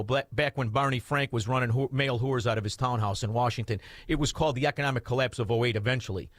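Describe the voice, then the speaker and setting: A man, hosting talk radio in a combative, mocking rant with shouted outbursts. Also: mid-range voice, no room sound, clean studio sound. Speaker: radio talk show host.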